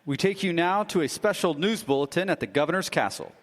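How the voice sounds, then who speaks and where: An actor playing a polished radio station announcer who introduces news bulletins in a live radio drama. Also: male voice, a little high, very animated, quick pace. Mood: announcing. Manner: polished broadcast announcer delivery. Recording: live stage recording in a hall.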